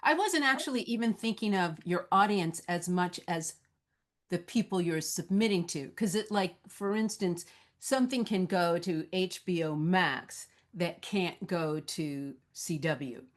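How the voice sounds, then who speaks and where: A woman's voice: fairly low pitch, a little slow. A woman moderating a conversational question-and-answer session and putting questions to a guest.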